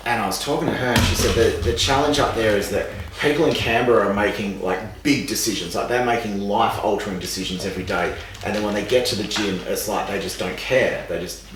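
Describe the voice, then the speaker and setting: Raspy, low-voiced man. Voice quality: raspy, dry. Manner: rambling, animated, swears casually. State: losing his voice, raspy. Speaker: podcast guest, exercise scientist. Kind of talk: casual podcast chat. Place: room with a seated podcast setup. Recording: echoey room, distant mic, faint noise.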